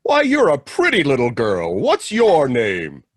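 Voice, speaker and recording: A man's voice: medium pitch, huge pitch swings, measured pace, dry recording, clean studio sound. A man playing a jolly, kindly old Santa Claus talking to a child in an old-time radio drama.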